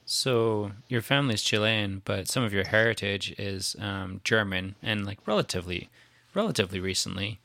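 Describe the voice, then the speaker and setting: A man's voice: lowish voice, animated, normal speed, vocal fry, bright, forceful tone, no room sound. A man interviewing a guest casually, giving short reactions and follow-up questions.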